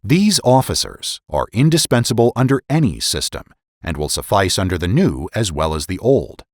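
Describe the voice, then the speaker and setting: A man narrating a dramatic reading of an old political essay in a formal, measured, emphatic oratorical manner. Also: lowish voice, very animated, dry recording, studio quality. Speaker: podcast host and narrator.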